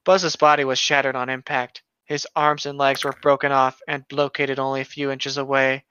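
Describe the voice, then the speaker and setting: A man's voice: mid-range voice, level tone, moderate pace, pushed and bright, clean tone. A man co-hosting a show, reading a story aloud with casual, playful banter, recorded on a slightly dull home microphone. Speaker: podcast co-host reading and mocking internet horror stories.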